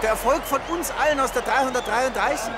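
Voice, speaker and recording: An actor in a radio drama playing a boisterous, proud engineer, exclaiming jovially to colleagues. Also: male voice, very high voice, fast. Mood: excited pride. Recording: noisy outdoor crowd scene.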